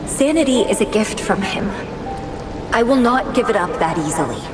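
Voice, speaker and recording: An actress playing a brooding, intense young woman warrior in a video game, delivering a deliberate, introspective, melancholy monologue. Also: medium pitch, animated, noisy background, lightly acted. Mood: quietly resolute. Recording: echoey long reverb, loud music. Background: loud game soundtrack music.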